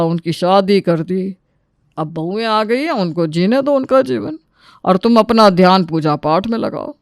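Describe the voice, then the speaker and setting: A man's voice: high voice, clear, resonant tone, no echo, studio quality. A man playing an elderly, reasonable but exasperated father-in-law who admonishes and pleads for peace in a family story.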